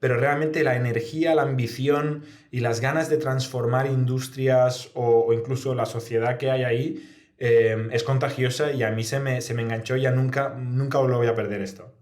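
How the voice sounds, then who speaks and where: A man's voice: mid-range voice, steady tone, normal speed, a little mellow. A man with an accent from Spain gives reflective, explanatory answers in a conversational interview, often adding little tag questions. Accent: Spanish from Spain. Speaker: guest, startup founder. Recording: echoey, reverberant room sound.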